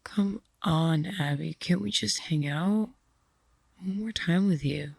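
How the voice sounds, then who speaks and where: Earnest young best friend for audio drama: low, gravelly, anxious, tentative. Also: female voice, slow pace, no room sound, full character voice. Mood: wistful.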